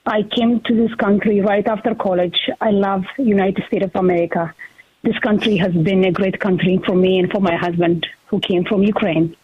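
A woman's voice: mid-range voice, no room sound. Earnest woman guest on a phone line. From Ethiopia. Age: 40s. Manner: earnest, halting with many fillers. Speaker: guest, congressional candidate. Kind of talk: phone interview on talk radio.

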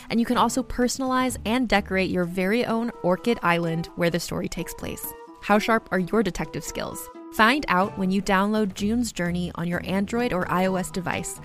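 A woman reading ad copy in an upbeat, smooth way. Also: mid-range voice, a bit bright. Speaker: podcast host reading ads. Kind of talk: read ad copy.

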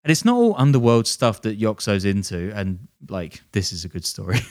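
A man's voice: fairly low pitch, sing-song, normal speed. A man hosting a podcast, telling a crime story casually with jokey, sardonic asides. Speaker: podcast host.

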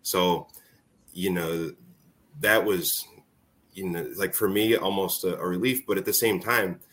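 A man's voice: sits low, fairly even intonation, a little slow, fairly bright tone. A man in his forties, a former ballplayer, speaking casually as an interview guest and telling stories. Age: 40s. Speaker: former college baseball player, interview guest.

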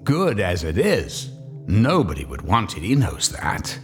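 A man's voice: lowish voice, huge pitch swings, unhurried, faint music behind. A man narrating an old-time radio drama in a dramatic, suspenseful storytelling manner.

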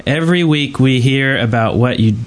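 Mid-pitched man hosting a casual podcast. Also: normal speed. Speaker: podcast host reading listener questions.

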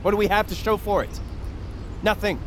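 Impassioned, high-pitched young avenger. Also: male voice, steady tone, fairly quick, fairly bright tone, slight background noise. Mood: bitter grief. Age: young adult. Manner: impassioned, archaic formal phrasing. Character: grieving, enraged young grandson bent on vengeance. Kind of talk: audio fiction drama acting.

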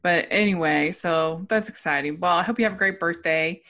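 A woman's voice: fairly low pitch, normal speed, fairly bright tone. A middle-aged woman hosting a podcast, chatty and laughing often, with many fillers. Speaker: podcast host. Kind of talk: casual chat.

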